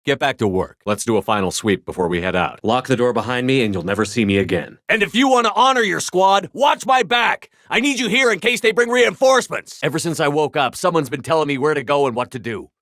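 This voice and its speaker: A man's voice: fairly high pitch, expressive, a little fast, few pauses, a bit bright, no room sound, studio quality. A man voicing a tough, commanding space soldier and squad leader in a video game, with terse, firm delivery. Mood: controlled, determined, then cool, firm, then urgent, commanding. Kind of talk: cinematic game dialogue lines.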